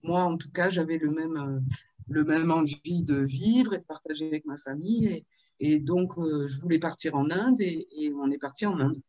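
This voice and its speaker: A woman in her fifties from Marseille, telling her life story in a video-call interview, with frequent pauses and fillers. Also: sits low, mellow, dry recording, lo-fi sound. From Marseille, France. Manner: hesitant, with many fillers and restarts. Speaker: author and interview guest.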